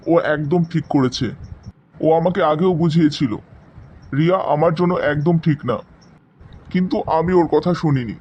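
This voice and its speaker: Man playing a wronged young lover, high-pitched and muffled. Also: a little mellow, slight background noise, close to an everyday voice. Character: Tarun, hurt young man, bitter and resolute in love. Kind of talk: dramatic animated story dialogue.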